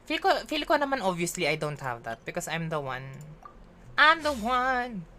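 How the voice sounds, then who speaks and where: A woman's voice: lowish voice, sing-song, moderate pace, pushed and bright, slight background noise. A woman with a Filipino accent streaming a game, chatting playfully in a mix of English and Filipino and teasing herself. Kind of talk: casual livestream chat while gaming.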